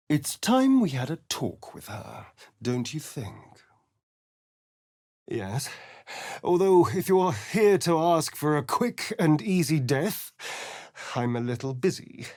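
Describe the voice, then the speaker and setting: An actor playing a suave, sardonic vampire rogue in a video game, vain and theatrical, with an arch, drawling delivery. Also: male voice, medium pitch, very animated, takes it slowly, long pauses, a little mellow, dry recording, studio quality. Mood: sly, droll. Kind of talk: dramatic video game character lines and narration.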